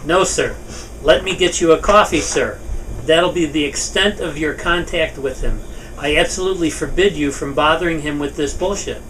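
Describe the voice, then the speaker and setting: A man's voice: fairly high pitch, moderate pace, a bit bright, natural voice. A man playing an angry, domineering shop boss with a scolding, sarcastic manner in a table read. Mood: stern, threatening. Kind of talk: table read of a film scene. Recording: distant mic, noisy room.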